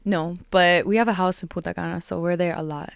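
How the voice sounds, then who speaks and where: A woman in her twenties from Miami, chatting casually as an interview guest, relaxed and laughing, with many filler words. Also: fairly low pitch, a little fast, creaky, clean tone, no room sound. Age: 20s. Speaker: guest artist.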